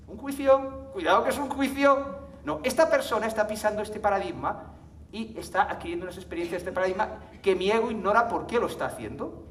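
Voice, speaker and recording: A man's voice: high voice, a little hiss or hum. A man from giving a live conference talk to an audience, chatty, often checking in with tag questions and laughing. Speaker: conference speaker. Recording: echoey hall, mic from far off.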